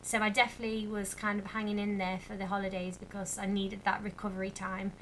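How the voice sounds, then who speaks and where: Chatty young woman, bright voice. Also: mid-range voice, flat delivery, fast, a little hiss or hum, rough recording. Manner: chatty, rambling with many fillers. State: slightly croaky. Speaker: vlogger. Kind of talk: casual chat to camera.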